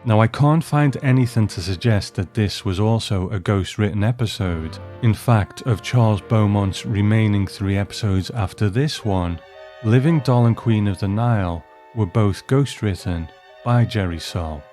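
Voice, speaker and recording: A man narrating a scripted commentary on television episodes in a measured, explanatory way, as the host. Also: on the low side, mellow, soft background music. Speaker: host of a commentary show on an old TV series.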